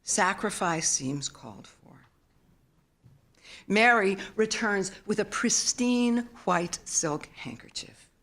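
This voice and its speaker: A mature woman reading a literary memoir at a live event in an expressive, solemn storytelling manner. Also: medium pitch, slow. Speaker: author reading own work. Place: theater stage, live reading.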